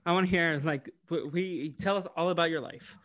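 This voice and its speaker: A man co-hosting a podcast, recapping a cartoon episode in casual chat, animated and laughing often. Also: a little high, moderate pace, dry recording. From United States. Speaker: podcast co-host.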